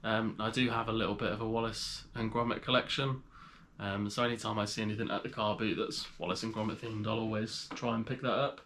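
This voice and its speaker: A man with a cold and a slightly nasal voice, chatting casually in a vlog as he shows items to the camera. He rambles in a relaxed way with frequent pauses. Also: fairly low pitch, a little hiss or hum. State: has a cold. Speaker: reseller vlogger.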